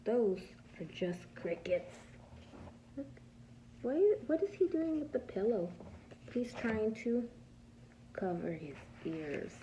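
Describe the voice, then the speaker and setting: A woman reading a picture book aloud as a preschool teacher, pausing to ask small children simple questions and patiently explaining the answers. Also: mid-range voice, slight background noise, rough recording. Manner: animated, asks simple questions and answers them herself.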